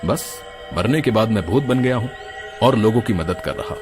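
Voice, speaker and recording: A man playing the stern, righteous, caring ghost of a dead doctor in an animated moral story, speaking in an earnest, scolding way. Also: fairly low pitch, normal speed, close to an everyday voice. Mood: earnest, calm. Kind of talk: animated Hindi moral horror story acting. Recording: music behind the voice.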